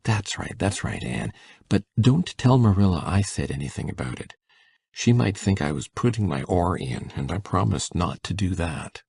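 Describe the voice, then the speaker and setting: Man acting a gravelly, low-voiced shy old farmer. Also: lively intonation, no room sound, exaggerated voice. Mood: eager, gentle. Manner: halting, rustic, hushed. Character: shy, kindly older farm man, gently coaxing. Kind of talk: dramatised audiobook acting.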